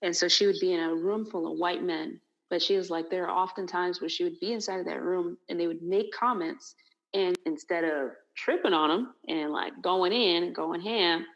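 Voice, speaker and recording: A woman's voice: lowish voice, normal speed, lo-fi sound. A woman co-hosting a casual discussion, talking in long rambling runs with many fillers. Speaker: podcast co-host.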